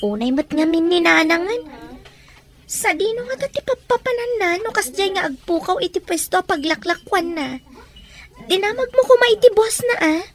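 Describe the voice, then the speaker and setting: A woman's voice: extremely high pitch, animated, normal speed. A woman playing a whiny young schoolchild, an elder sister pleading with her father, in an Ilocano radio comedy drama. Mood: anxious.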